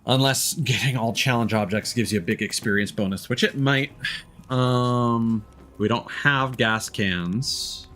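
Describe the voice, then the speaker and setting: A man's voice: medium pitch, a little slow, fairly bright tone, soft background music. A man doing casual live gameplay commentary as a streamer, musing and thinking aloud. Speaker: game streamer.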